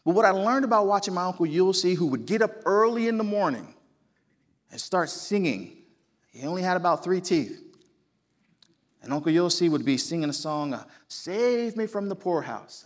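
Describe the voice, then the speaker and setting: A man's voice: fairly high pitch, animated, moderate pace. South Jersey man preaching conversationally. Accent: South Jersey American. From New Jersey, United States. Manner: conversational, folksy storytelling. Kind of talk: sermon. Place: church sanctuary.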